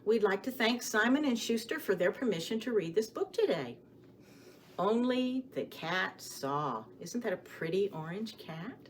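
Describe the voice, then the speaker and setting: Animated woman hosting children's story time. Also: mid-range voice, a little slow, slight background noise. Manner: addresses young children with questions, gasps and animated emphasis. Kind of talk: children's story time with songs, rhymes and picture book reading.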